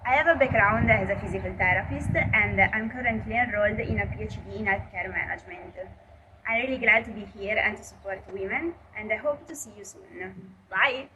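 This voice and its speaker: A woman's voice: medium pitch, fairly quick, pushed and bright. A woman, giving a bubbly self-introduction. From Italy. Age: 20s. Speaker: PhD student. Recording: noisy background.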